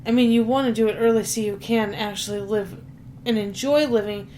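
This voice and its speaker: A woman co-hosts a money podcast at a middle pitch. She talks in an easy, conversational way with a teasing edge, and a faint hiss runs underneath. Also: fairly even intonation, a little fast, mellow. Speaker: podcast co-host. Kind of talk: casual podcast chat.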